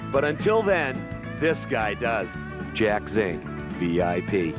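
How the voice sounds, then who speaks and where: A man hosting a motorsport show on location, talking in a jokey, wisecracking, high-energy way. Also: sing-song, slow pace, over music. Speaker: show host.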